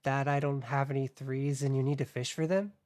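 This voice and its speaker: Low-voiced deadpan attendant. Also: female voice, a little fast, no room sound, studio quality. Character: deadpan, unflappable royal attendant at cards. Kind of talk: comic audio drama acting.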